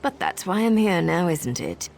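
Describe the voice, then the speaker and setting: A woman's voice: on the low side, lively intonation, moderate pace, creaky, a little mellow, a little hiss or hum. A woman playing a sly, mischievous female agent in a video game, speaking with sardonic, conspiratorial relish. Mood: conspiratorial, wry. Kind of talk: video game character dialogue. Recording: music behind the voice.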